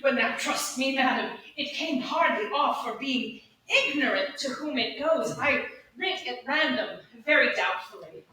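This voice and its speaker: A woman playing an earnest, lovesick young gentleman in a Shakespearean comedy, with halting, flustered delivery. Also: high voice, lively intonation. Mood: flustered, deferential. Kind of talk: Shakespearean comedy staged reading. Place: large echoey indoor room, staged reading. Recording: echoey hall from far off, faint noise.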